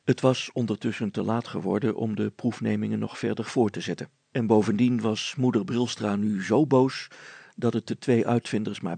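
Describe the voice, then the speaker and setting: A man reading a story aloud as an expressive narrator. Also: fairly low pitch, gravelly, husky, no echo.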